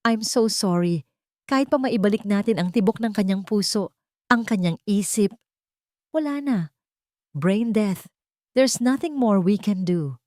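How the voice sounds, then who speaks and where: A woman playing a somber doctor in an audio drama, speaking with gentle, grave pity. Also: mid-range voice, animated, unhurried, long pauses, dry recording, studio quality, voice pushed up, lightly acted. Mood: sorrowful pity.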